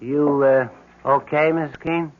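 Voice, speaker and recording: An actor playing a blunt, folksy, nosy building superintendent who talks casually in an old radio drama. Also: male voice, a little high, steady tone, measured pace, lightly acted. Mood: nosy, knowing. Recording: dull vintage radio recording.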